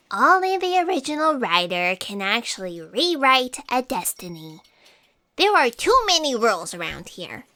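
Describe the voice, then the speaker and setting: A woman playing an excitable, defensive office underling, theatrical and evasive, in a comic sci-fi audio drama. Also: high voice, slow pace, gravelly, full character voice.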